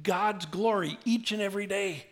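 Joking, animated man preaching. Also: high voice, moderate pace. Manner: humorous, conversational preaching with big swings in loudness. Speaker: pastor. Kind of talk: sermon.

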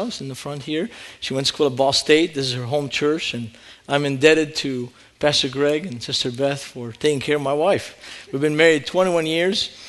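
Animated Lebanese man preaching. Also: on the high side. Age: middle-aged. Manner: animated storytelling, rising emphasis. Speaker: guest preacher. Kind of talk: sermon. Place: church.

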